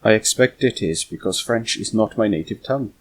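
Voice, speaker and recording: A man's voice: fairly low pitch, fairly quick. A man reading the part of a proud, cheerful young Welsh boy with formal storybook phrasing.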